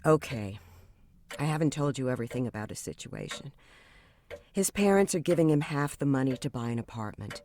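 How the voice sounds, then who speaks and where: A woman's voice: a bass voice, expressive, brisk, darkish tone, a little hiss or hum. A woman playing an outspoken, defensive young therapy client in an audio drama, with a frank, sardonic manner. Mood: defensive, guarded.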